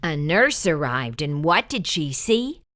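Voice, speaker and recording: A woman reads a picture book aloud to children, slow and sing-song. Her voice is bright and swoops all over. She draws each sentence out for young listeners. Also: low-pitched. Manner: expressive, sing-song storytelling to kids. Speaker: children's storytime host.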